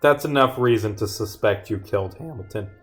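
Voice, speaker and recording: A man's voice: on the low side, a little fast, natural voice. A stern detective from a visual novel, read out by a man, laying out evidence in pointed, declarative lines. The delivery is accusing, with faint music underneath. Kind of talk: reading visual novel dialogue aloud.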